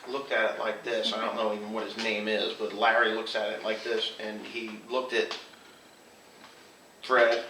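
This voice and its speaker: A man's voice: on the low side, flat delivery, normal speed, bright, forceful tone. A man casually telling anecdotes, acting out bits of quoted dialogue as he goes. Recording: roomy, distant mic, faint noise.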